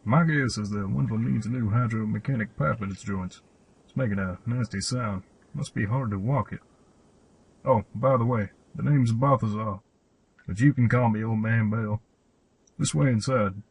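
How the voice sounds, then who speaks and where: A man playing an old, knowing hermit in a radio play, speaking in an unhurried, musing way with a husky voice. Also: fairly low pitch, rough recording. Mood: musing, matter-of-fact. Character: old hermit fossicker, knowing, quietly amused gear fanatic.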